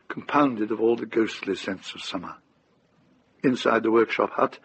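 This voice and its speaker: Measured male storyteller, mid-pitched. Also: a little hiss or hum, rough recording. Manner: measured, deliberate, literary. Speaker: narrator of a radio drama. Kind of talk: scripted storytelling narration.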